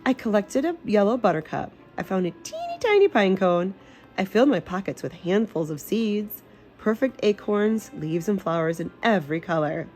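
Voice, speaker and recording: A woman gives an expressive storybook read-aloud. Her pitch is middling but swings widely, with emphatic phrasing and light music underneath. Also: normal speed. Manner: animated, emphatic. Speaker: children's storybook reader.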